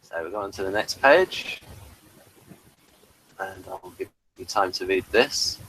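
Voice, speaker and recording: A man's voice: sits low, takes it slowly, fairly bright tone, a little hiss or hum, low-quality recording. A man co-hosting a casual podcast chat about a TV episode, now and then reading out lines from a page. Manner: chatty, reads out bits from a page. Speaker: podcast co-host.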